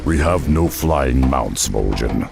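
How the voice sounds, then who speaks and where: An actor playing a huge, wary beast-man warrior in a fantasy game, with a growling, gravelly voice and a blunt, stern manner. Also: very deep voice, expressive, slow pace, exaggerated voice. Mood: wary, grim. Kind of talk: fantasy game character acting. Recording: music under the voice.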